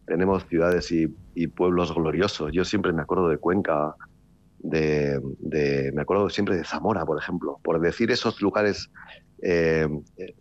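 A man, a novelist from the Basque Country, talking in a relaxed interview with a wry, rambling manner, full of restarts and fillers. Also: deep voice, unhurried, dry recording, highs cut off. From Basque Country, Spain. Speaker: author.

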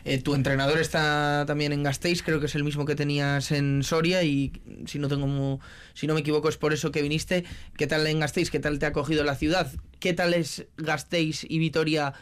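A man's voice: a little high, little pitch movement, brisk, clean tone. A man with an accent from Spain, conducting a conversational sports interview as the host. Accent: Spanish, from Spain.

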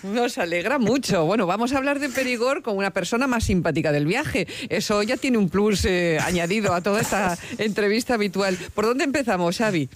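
A woman's voice: mid-range voice, animated, a little fast, few pauses, slight background noise. A woman co-hosting a casual chat in an upbeat, exclamatory way. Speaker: co-host.

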